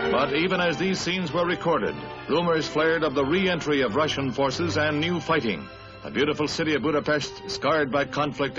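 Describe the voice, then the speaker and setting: An American man reading scripted 1950s newsreel narration in an emphatic, grandiose manner. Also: medium pitch. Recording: dull vintage 1950s newsreel soundtrack. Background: orchestral newsreel music.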